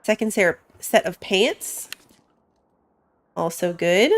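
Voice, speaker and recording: A woman's voice: mid-range voice, lively intonation, slow pace. A woman giving casual live commentary as she plays a game, chatting and thinking aloud. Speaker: game streamer.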